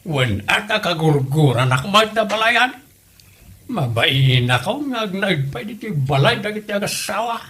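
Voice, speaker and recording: A man's voice: high voice, big pitch swings, a little slow, pushed and bright, heavily acted. A man playing an older Ilocano father, earnest and easily hurt, in a comedy drama. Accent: Filipino, Ilocano. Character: older father, wounded, earnest suitor to his in-law.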